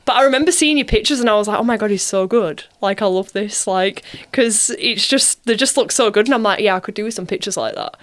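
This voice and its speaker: Actress as interview guest, female, mid-pitched, informal and chatty, quotes herself conversationally. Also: fast talker, few pauses. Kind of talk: casual chat.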